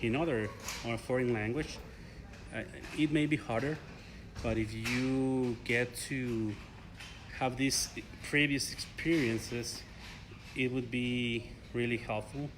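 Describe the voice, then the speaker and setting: A young man from Mexico with a Mexican Spanish accent, a native Spanish speaker answering interview questions in a halting way with fillers and restarts. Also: medium pitch, steady tone, background noise. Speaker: interview guest, ESL learner.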